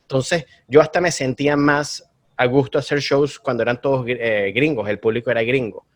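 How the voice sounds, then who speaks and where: Male comedian guest with a Venezuelan accent, mid-range voice, relaxed and rambling. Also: medium pitch, a little fast. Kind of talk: casual conversation.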